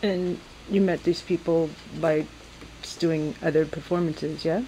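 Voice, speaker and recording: A woman's voice: low voice, fairly even intonation, unhurried, mellow, a little hiss or hum, rough recording. A woman interviewer asking questions in a casual, conversational way.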